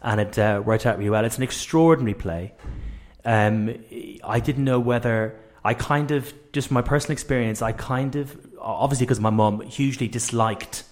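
Male radio interviewer, mid-pitched, chatty and effusive, circles back over his phrases in a rambling way. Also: normal speed. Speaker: radio host and producer. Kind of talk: casual conversational interviewing.

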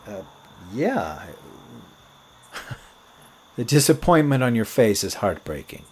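A man's voice: mid-range voice, very animated, takes it slowly, darkish tone, slightly rough, heavily acted. A young man playing a nervous, eager rookie late-night rock DJ with an awkward, jokey manner in a horror audio drama. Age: young adult.

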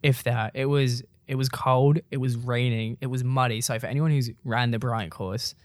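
Young man, laid-back storyteller, mid-pitched, dry close recording. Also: normal speed, no echo. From Iceland. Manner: relaxed, laughs while telling stories. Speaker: co-host, runner. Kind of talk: casual chat.

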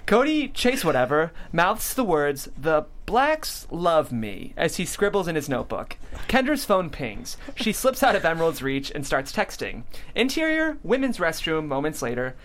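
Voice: medium pitch, big pitch swings, moderate pace. A narrator reading stage directions at a comedy script table read.

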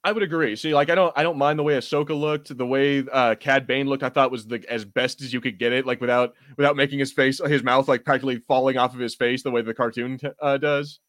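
A man's voice: mid-range voice, fairly even intonation, fast, few pauses, a bit bright, dry recording, studio quality. A man hosting a podcast in casual fan chat, talking in a rambling, enthusiastic way. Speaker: podcast host.

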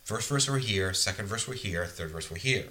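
Bright-voiced man talking shop in a hesitant way. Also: on the low side, lively intonation, normal speed, a little hiss or hum. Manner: thoughtful, hesitant, many restarts and fillers. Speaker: music producer. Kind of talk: casual conversation.

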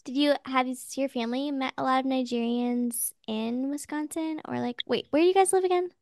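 A young woman hosts a casual podcast chat and keeps the conversation going with steady encouragement and lots of "like" fillers. Her voice is pitched a little high and carries a gravelly fry, and the recording sounds dry and close. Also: a little fast, no room sound. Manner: encouraging, many "like" fillers and backchannels. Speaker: podcast host.

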